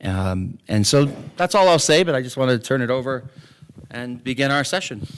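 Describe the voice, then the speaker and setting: Rabbi guy opening a class, thoughtful and reflective, lowish voice. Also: normal speed, a little hiss or hum. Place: synagogue chapel.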